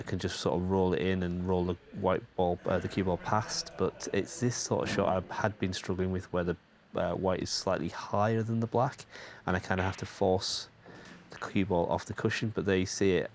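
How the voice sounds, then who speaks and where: A man's voice: low-pitched, slight background noise. A man hosting his channel, giving casual, rambling voice-over commentary with frequent hesitations, his voice still a little stuffed up and tired from a cold. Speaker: channel host.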